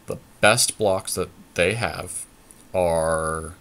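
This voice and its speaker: A man co-hosting a gaming podcast, talking casually and deliberately as he works out strategy aloud during a card game. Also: sits low, steady tone, fairly bright tone. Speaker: podcast co-host commentating on own card game play.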